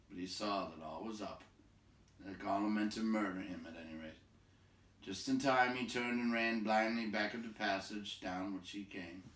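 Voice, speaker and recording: Male storybook narrator: lower register, expressive read-aloud, recorded off mic in a reverberant room. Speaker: storybook reader. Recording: echoey room, distant from mic.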